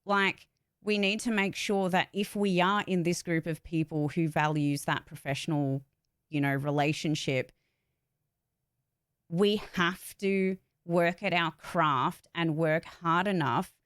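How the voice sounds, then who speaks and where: A woman's voice: low-pitched, clean tone, no room sound, clean studio sound. A woman talking casually and candidly to her viewers, giving opinions emphatically and with some swearing. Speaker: vlogger, actor and voice-over artist.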